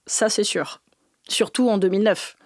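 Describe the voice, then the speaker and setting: A woman from the north of France, a politician guest in an interview, talking fast and animated with laughing asides and many restarts. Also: medium pitch, no echo, studio quality. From northern France, mining basin. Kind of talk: lively conversational interview.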